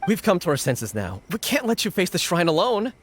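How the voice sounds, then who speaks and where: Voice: mid-range voice, big pitch swings, a little fast, slight background noise, natural voice. An actor voicing a loyal, anxious young gang member in a video game, speaking with earnest urgency. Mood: determined. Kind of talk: scripted video game voice acting.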